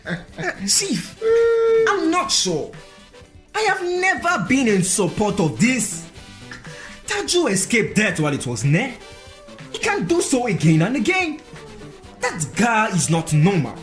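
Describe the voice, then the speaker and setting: An actor with a Nigerian accent playing an indignant, distrustful conspirator in a radio drama, blustering and argumentative. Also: male voice, high voice, wildly swooping pitch, a little slow, long pauses, a bit bright, faint music behind, exaggerated voice. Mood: wary.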